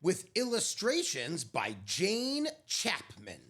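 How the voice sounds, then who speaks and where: A woman reading a picture book aloud to young children, expressively, with drawn-out dramatic phrasing and friendly asides. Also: on the low side, wildly swooping pitch, fairly bright tone, slightly rough. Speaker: children's storyteller.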